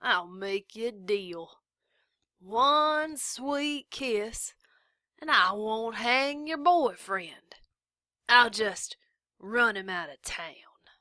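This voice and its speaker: A drunken, leering sheriff voiced by a woman reading aloud stretches every word into a slow, lazy drawl. The tone is bright and clear, recorded dry with no room sound. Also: fairly high pitch, lively intonation, no echo, pitched above the performer's own voice, heavily acted. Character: drunken leering male small-town sheriff.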